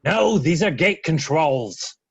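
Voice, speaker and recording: A man acting out a nervous, evasive male captive in a drama script. The voice is very raspy and creaky, slow and halting, recorded close and dry. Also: fairly high pitch, lively intonation, no echo, exaggerated voice. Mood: correcting. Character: nervous, frustrated male captive tinkering with ship controls.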